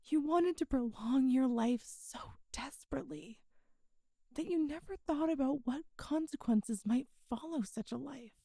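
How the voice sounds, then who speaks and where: Ancient female demon, wise and regretful, played by a woman: intimate ASMR delivery, unhurried, with a gravelly creak. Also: sits high, no echo, full character voice. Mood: wistful, solemn. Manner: soft, hesitant, intimate, sighing. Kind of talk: ASMR audio roleplay.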